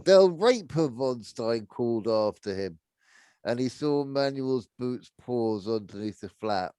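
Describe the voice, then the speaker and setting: A man's voice: mid-range voice, slow pace, mellow, smooth, no echo, studio quality. A man reading a story aloud unrehearsed, narrating and now and then stumbling over words and rereading. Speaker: reader of a book aloud.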